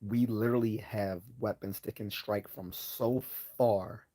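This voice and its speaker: A man hosting a relaxed livestream Q&A, his slightly low voice worn hoarse. He wanders through his answers, trailing off into fillers and starting sentences over as he reads and responds to chat. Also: unhurried. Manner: rambling, hesitant, many fillers and restarts. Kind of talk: casual live Q&A chat.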